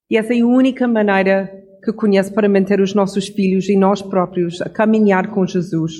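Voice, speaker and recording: Middle-aged preacher type, calm reflective pace, fixes own words now and then. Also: mid-range voice. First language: English. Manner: reflective, measured, with small self-corrections. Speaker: devotional speaker. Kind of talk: Bible devotional message.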